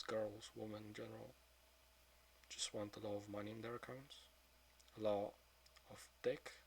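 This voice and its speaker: A man of twenty-two speaking in a frank, subdued confessional monologue, swearing often and trailing off into quieter mutters. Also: lowish voice, slight background noise. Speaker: vlogger.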